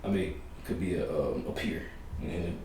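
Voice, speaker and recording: A man hosts a podcast in a low voice, telling stories at an easy pace. He sounds far from the mic in a very echoey room with faint hiss. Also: moderate pace. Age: 30s. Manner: relaxed, storytelling with quoted voices. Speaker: podcast host. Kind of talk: casual chat. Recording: roomy echo, distant mic, faint hiss.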